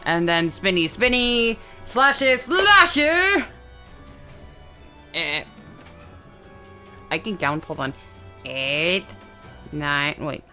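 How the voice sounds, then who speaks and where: Bright, unhurried, playful woman gamer. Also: fairly low pitch, big pitch swings, soft background music. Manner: playful, uses sing-song made-up sound words. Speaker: player in a tabletop game. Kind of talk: casual playful game chat.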